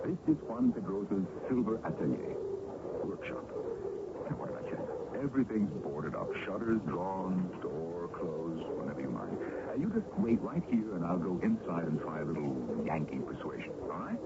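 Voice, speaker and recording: An actor playing a middle-aged, domineering American colonel with a smooth, bossy, menacing air in an old-time radio drama. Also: male voice, low-pitched, huge pitch swings, normal speed, full character voice. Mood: expansive, bossy. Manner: overbearing, commanding. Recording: dull, very noisy old radio recording.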